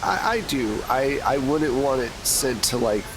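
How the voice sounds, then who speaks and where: A man's voice: mid-range voice, animated, measured pace, close to an everyday voice. A man voicing a worried male project lead at an excavation, speaking hesitantly over a noisy line. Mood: cautious. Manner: cautious, hesitant. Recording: noisy hiss.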